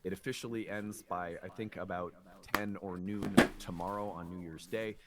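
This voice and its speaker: A man's voice: low voice, fairly even intonation, normal speed, heavy background noise. A man hosting a conversational astrology show, explaining things in an earnest way. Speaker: show host.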